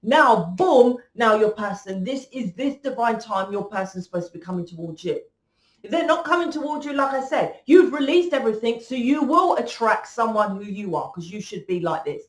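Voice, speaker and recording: A woman's voice: fairly high pitch, lively intonation, normal speed. A woman giving a rambling tarot reading, blunt and swearing freely. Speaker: tarot reader. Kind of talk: casual tarot reading monologue. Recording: echoey room.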